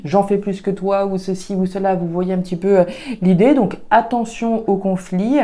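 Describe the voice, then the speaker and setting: A woman's voice: medium pitch, fairly even intonation, moderate pace, dark tone. A woman with a regional French accent doing a casual card reading for viewers, thinking aloud with frequent fillers and restarts. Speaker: tarot and oracle card reader.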